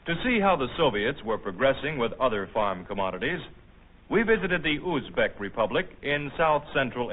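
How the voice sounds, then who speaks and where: An American man reading formal, measured, scripted narration in the manner of a 1950s documentary newsreel. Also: medium pitch, slight background noise. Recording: tinny band-limited old film soundtrack.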